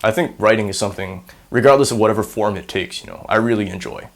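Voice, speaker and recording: A man's voice: on the low side, fast talker. A young man in his twenties from Singapore, speaking casually with a hybrid American and Singaporean accent, rambling with lots of fillers and self-deprecating humor. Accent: mix of American and Singaporean. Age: 20s. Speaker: university student. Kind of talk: casual on-camera interview monologue.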